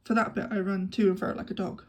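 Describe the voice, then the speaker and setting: A woman's voice: mid-range voice, level tone, fast talker, lightly acted. A woman reading an audiobook, voicing a wry, easygoing young man working as a tour guide, in a dry, lightly ironic tone.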